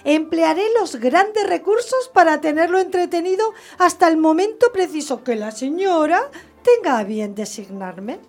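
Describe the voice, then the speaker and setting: Radio-theatre maid, female actor: high, lively pitch, young, demure, coyly obliging schemer. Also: normal speed, faint music behind. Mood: obliging, assured. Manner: timid, deferential, coy.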